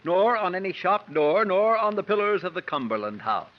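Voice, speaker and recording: Hearty old-time innkeeper, dull radio sound. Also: male voice, sits high, very animated, normal speed. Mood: jovial, welcoming. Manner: hearty, folksy, welcoming. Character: jovial, talkative country innkeeper with mutton chop whiskers. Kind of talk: 1950s radio acting. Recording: dull vintage 1950s radio recording.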